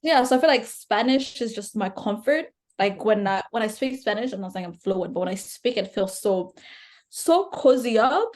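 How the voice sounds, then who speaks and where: Young rwandan woman guest, earnest, thinks out loud, lots of restarts. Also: mid-range voice, animated, normal speed. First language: Kinyarwanda. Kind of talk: casual conversation.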